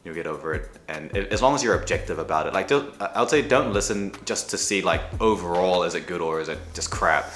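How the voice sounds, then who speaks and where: A man's voice: deep voice, fast talker, hardly any pauses, a bit bright. Man with a mixed Kiwi-Aussie-American accent. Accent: mixed New Zealand, Australian and American. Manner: reflective, joking, many fillers. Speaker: education creator. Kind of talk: casual behind-the-scenes chat. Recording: roomy studio with music underneath.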